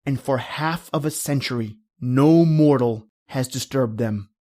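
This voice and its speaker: A man playing a cold, vengeful nobleman who narrates his crime in a deliberate, dramatic horror reading. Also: medium pitch, darkish tone, close to an everyday voice. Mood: impatient, grim. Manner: theatrical, deliberate, dramatic storytelling.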